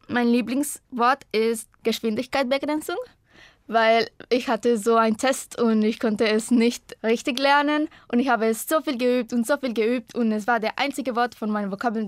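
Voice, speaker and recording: A woman's voice: fairly high pitch, dry recording, studio quality. Young woman speaking learner German. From Costa Rica. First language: Spanish. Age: 20s. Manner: hesitant, searching for words. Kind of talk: personal self-introduction.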